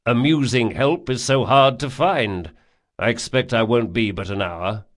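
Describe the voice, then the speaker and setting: A man voicing a polite gentleman in an audiobook, measured, formal and wry. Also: medium pitch, close to an everyday voice. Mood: courteous, dry. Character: polite, dryly witty gentleman passenger, composed.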